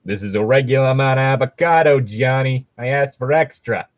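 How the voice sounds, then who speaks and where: A man playing a menacing mob boss griping in gruff, threatening gangster talk, in a comedic improvised bit. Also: mid-range voice, fairly quick, dry recording, clean studio sound, voice pushed up, close to an everyday voice. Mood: indignant.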